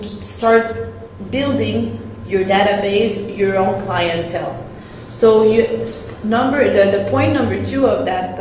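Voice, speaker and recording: A woman's voice: fairly high pitch, a little mellow. A woman whose first language is French gives a live-streamed sales talk in English, hesitating and often repeating and restarting her words. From French-speaking. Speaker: sales coach. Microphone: live-stream camera. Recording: very echoey room, faint noise.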